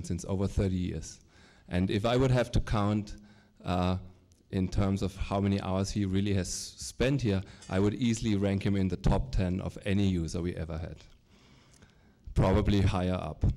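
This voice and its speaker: A middle-aged man with a low, mellow voice introduces a guest lecturer. He speaks formally but haltingly, pausing often on fillers and starting phrases over. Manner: hesitant, with many fillers and halting restarts.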